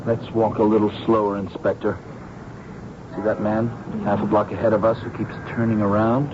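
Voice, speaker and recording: An actor playing an earnest, observant police sergeant, a deferential sidekick in a radio drama. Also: male voice, on the low side, flat delivery, normal speed, lightly acted. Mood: watchful. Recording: dull old radio, noisy street effects.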